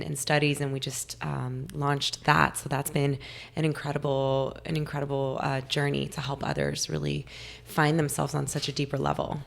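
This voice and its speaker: Young woman from california, low flat gravelly voice, bit hoarse, chatty and eager. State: a little hoarse. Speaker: guest nutritionist. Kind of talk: casual interview talk.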